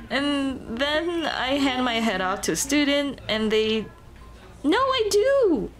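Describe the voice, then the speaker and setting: A woman's voice: high-pitched, big pitch swings, extremely slow, pauses often, exaggerated voice, poor audio. Woman acting a shy, earnest monster girl, music behind. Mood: playful, proud. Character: shy, earnest female Dullahan teacher, headless monster girl on a date. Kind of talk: ASMR roleplay acting.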